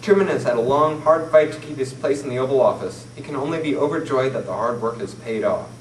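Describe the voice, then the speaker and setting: A teenage boy reading a mock 1940s newscast from paper at a classroom table, in a formal, steady manner. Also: medium pitch, fast talker. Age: teens. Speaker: student newsreader. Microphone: far camera mic. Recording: roomy, distant camera audio with faint hiss.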